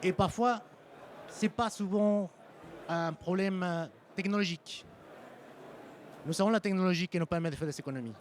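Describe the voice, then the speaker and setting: A man with a Mexican Spanish accent giving a conference presentation as a sales engineer, pausing often with ums and restarting phrases. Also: high-pitched, a little hiss or hum. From French-Mexican. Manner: hesitant, frequent ums and restarts. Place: conference hall.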